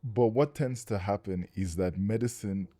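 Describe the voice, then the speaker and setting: A man's voice: lowish voice, normal speed, warm tone, no room sound. A man who is a doctor in residency, talking as a podcast guest in a casual, hesitant way, often repeating words as he thinks. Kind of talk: casual interview conversation.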